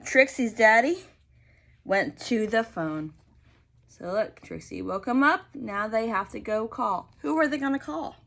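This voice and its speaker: Bright-voiced, slow-paced woman reading to children. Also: a little high, expressive, gravelly. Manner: deliberate enunciation, addressing small children with prompts and questions. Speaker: teacher reading to young children. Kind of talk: expressive children's picture book read-aloud.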